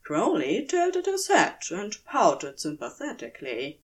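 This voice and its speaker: Slow, expressive woman narrating fiction. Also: medium pitch.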